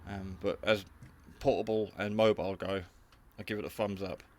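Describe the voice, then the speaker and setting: Low-pitched man, rambling review. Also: little pitch movement, normal speed. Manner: rambling, matter-of-fact, modest. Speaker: hobby radio reviewer. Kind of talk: casual unscripted product review.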